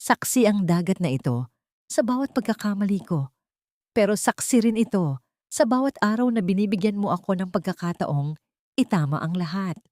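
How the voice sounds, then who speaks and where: A woman voicing a repentant man and devoted father in an audio story, soft, earnest and humble. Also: mid-range voice, lively intonation, moderate pace, no echo, clean studio sound, close to an everyday voice. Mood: soft, wistful.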